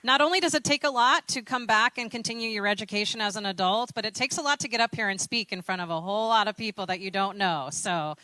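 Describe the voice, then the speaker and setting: Emcee woman with a bright, sharp tone and a dry sound, says um a lot, encouraging. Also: medium pitch, fast, few pauses, dry recording, poor audio. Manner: many "um" fillers, encouraging. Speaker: program staff emcee. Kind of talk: introducing speakers at a graduation ceremony.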